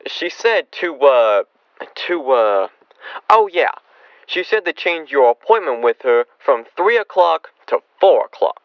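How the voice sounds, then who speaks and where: A man's voice: fairly high pitch, big pitch swings, a little slow, no room sound, lo-fi sound. An actor playing a harried, exasperated middle-aged father who grumbles wearily, in old-time radio comedy style. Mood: impatient. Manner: weary, put-upon, grumbling.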